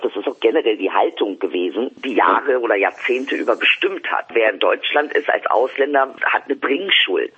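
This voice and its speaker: A man talks as an interview guest, with a very husky voice. He is blunt and direct, and his delivery turns polemical. The recording sounds dull and lo-fi. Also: medium pitch. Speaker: guest, stage performer. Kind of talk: interview conversation.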